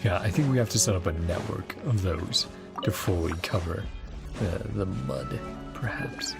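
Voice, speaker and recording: Male game streamer, gravelly and low, casual think-aloud commentary. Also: huge pitch swings, a little slow. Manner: mutters to self, sings syllables, thinks aloud. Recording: game music behind voice. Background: game music.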